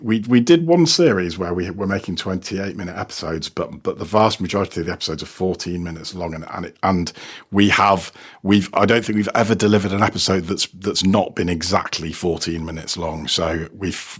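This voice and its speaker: A man talking casually as an interview guest, haltingly, often repeating words as he starts a phrase. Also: deep voice, breathy edge. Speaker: guest, sound designer and producer.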